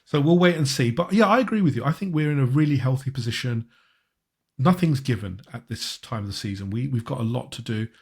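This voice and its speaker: A man hosting a football podcast in casual, conversational talk, with a husky voice and a halting way of repeating words. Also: medium pitch, animated, a little mellow.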